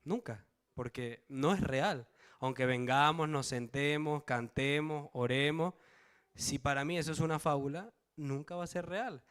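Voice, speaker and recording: A man's voice: fairly high pitch, unhurried, fairly bright tone, clear, resonant tone. A man preaching to a church congregation. He reads out verses, asks the congregation questions and checks in with them. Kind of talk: Bible study sermon to a congregation.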